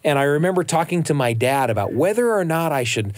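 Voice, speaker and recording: Lively man co-hosting a podcast. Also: on the high side, quick pace. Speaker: podcast co-host. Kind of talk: casual reflective chat.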